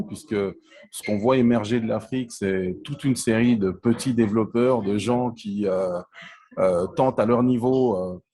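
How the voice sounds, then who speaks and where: A man's voice: fairly low pitch, mellow, slightly rough. A man from Congo in his forties, answering interview questions in a hesitant way, with frequent fillers and restarts. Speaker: interview guest, telecom entrepreneur.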